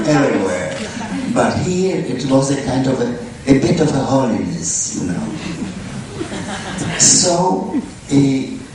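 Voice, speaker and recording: An older man from Bukovina, reminiscing slowly and fondly in halting phrases while interviewing onstage in a conference hall. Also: medium pitch, vocal fry, background noise. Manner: slow, halting, fond storytelling. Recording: echoey hall, distant mic.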